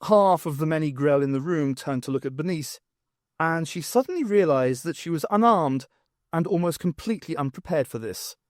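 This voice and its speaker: Animated male audiobook narrator, higher-pitched. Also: moderate pace, no echo, studio quality. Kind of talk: expressive scripted fiction narration.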